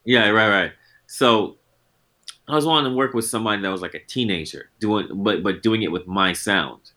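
A man's voice: lowish voice, moderate pace, pushed and bright. A man in his forties talking as a guest in an interview, laid-back and reflective, often circling back on his thoughts. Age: 40s. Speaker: guest musician. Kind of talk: casual interview talk.

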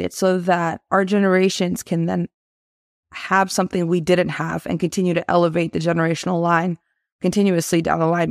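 A young woman from Albania, raised in Boston, chatting energetically as an interview guest, quick to laugh. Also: fairly low pitch, no echo, clean studio sound. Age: 20s. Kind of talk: casual interview talk.

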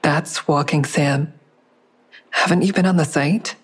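An actress playing a tired, insistent woman in an audio drama, blunt and earnest, with a husky voice. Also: low-pitched, steady tone, a little slow. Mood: urgent, earnest.

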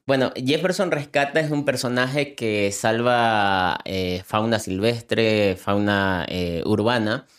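A man's voice: on the low side, takes it slowly, few pauses, a bit bright. Earnest young man. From Ecuador, coastal Manta. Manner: earnest, heartfelt, repeats himself when emotional. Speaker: animal rescuer and content creator. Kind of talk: personal storytelling in an interview.

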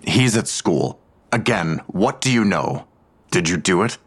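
Weary male investigator: low-pitched, curt, irritable, naturalistic audio drama dialogue. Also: very animated, unhurried, exaggerated voice. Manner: curt, gruff.

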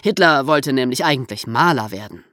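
Male podcast host, lively swooping intonation, conversational explaining, dry close recording. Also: medium pitch, a little fast, no echo.

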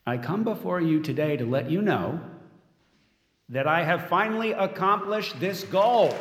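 A man's voice: high-pitched, lively intonation, measured pace, a little hiss or hum. An actor playing a smug, controlling tech mogul in an audio drama, giving a polished, self-satisfied speech as he unveils his android. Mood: proud, boastful. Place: large event room as staged, crowd behind. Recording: echoey hall with crowd behind.

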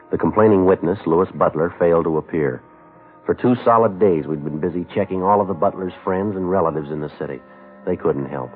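Man playing low-voiced police sergeant, terse, matter-of-fact, 1950s radio drama, muffled old broadcast. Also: close to an everyday voice. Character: stoic, businesslike male police sergeant narrating his case. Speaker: narrator and lead detective.

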